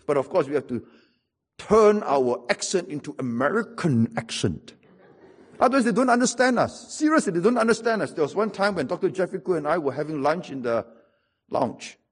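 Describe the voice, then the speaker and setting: A man's voice: on the high side, expressive, fairly quick, slightly rough. A middle-aged man with a Singaporean English accent, preaching a sermon and telling stories with wry humor and emphatic repetition.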